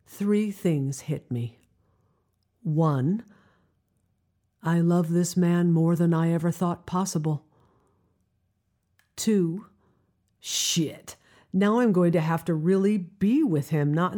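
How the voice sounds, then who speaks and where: A middle-aged woman telling a scripted personal story in a confiding, wry manner with deliberate pauses. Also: fairly low pitch, mellow. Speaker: writer and narrator of a personal audio drama.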